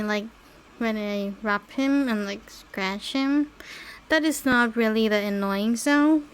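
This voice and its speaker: A woman with a fairly high voice hosts a casual solo podcast as a non-native English speaker. She talks very slowly, rambling through personal anecdotes in a giggly manner. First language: not English. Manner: rambling, giggly, often trailing into small asides. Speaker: podcast host.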